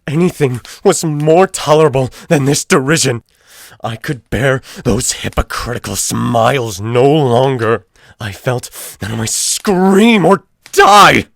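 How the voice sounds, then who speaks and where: A man voicing a guilt-crazed murderer in a theatrical, deliberate dramatic reading of a gothic horror story. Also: low-pitched, dry recording, clean studio sound. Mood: frantic dread. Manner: theatrical, deliberate phrasing with drawn-out words. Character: guilt-crazed murderer, feverishly confident, unraveling into frantic confession.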